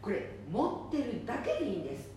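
A courteous care manager acted by a woman explains things soothingly in a high voice. It is an amateur radio play, recorded at a distance in a room with strong echo. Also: wildly swooping pitch, fast talker, a little mellow. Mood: persuasive. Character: polite, reassuring female care manager. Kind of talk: amateur radio drama acting. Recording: very echoey room, distant mic, faint noise.

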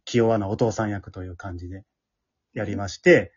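A person with a Kansai Japanese accent chatting casually and animatedly as leader of an amateur radio drama troupe, explaining things in a husky voice. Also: deep voice, fairly even intonation, moderate pace, a little mellow. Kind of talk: casual after-show chat. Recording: dull lo-fi podcast audio.

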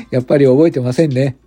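A man's voice: medium pitch, dark tone, natural voice. A man voicing a young, friendly, unpretentious company president in an amateur radio drama. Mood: nostalgic. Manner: chatty, polite, unpretentious. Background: background music.

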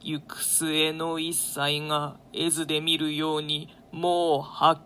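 A woman playing a stern, foreboding widowed queen in a classical stage play, with measured, grave theatrical diction. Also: sits low, little pitch movement, a bit bright, a little hiss or hum. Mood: dread, resignation.

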